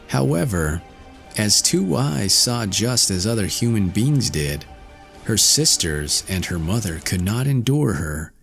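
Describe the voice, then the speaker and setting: A man narrating a fairy tale aloud in a slow, expressive storytelling manner. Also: fairly low pitch, creaky. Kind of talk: audiobook fairy tale reading.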